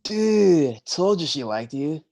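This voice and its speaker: A man playing a goofy, meddling sea god buddy in a comic staged reading, casual, slangy and boisterous, with a husky voice. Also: on the high side, big pitch swings, a little slow, a little mellow, heavily acted. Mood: teasing.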